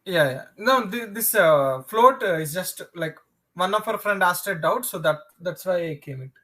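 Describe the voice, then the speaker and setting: High, bright-voiced South Indian male instructor. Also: animated. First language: Telugu. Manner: repetitive, halting explanations that circle back to restate points. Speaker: programming instructor. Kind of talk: informal live online lesson.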